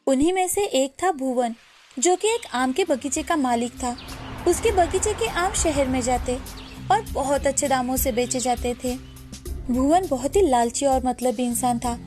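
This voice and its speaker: Female narrator for children's moral stories, high voice, clear music bed. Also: a little slow, darkish tone. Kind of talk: scripted storytelling narration of children's moral tales.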